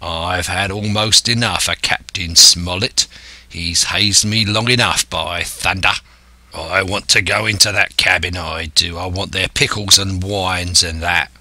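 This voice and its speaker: A man voicing an impatient, grumbling pirate coxswain with a surly growl and rough seafaring dialect. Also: sits low, fairly even intonation, a little slow, sharp tone, dry recording, heavily acted.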